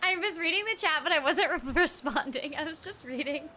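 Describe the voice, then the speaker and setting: A woman from Texas, a voice actor streaming a game, chatting to her live audience in a bubbly, giggly, animated way. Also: sits high, a little fast.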